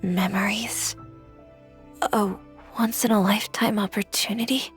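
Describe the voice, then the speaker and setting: A woman playing a wistful, reflective young dreamer in a video game, with a breathy voice and hesitant delivery. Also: medium pitch, steady tone, measured pace, soft background music, close to an everyday voice. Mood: sorrowful. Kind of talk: dramatic video game dialogue acting.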